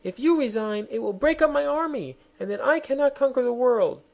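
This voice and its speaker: A man voicing a haughty, imperious young queen in a shrill, scornful voice. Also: expressive, brisk, creaky, exaggerated voice. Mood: indignant.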